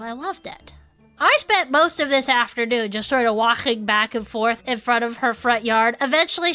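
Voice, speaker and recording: A woman playing a sweet, earnest, cheerful turtle who co-hosts a comedic podcast and chats gently. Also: high-pitched. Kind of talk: improvised comedic fiction podcast.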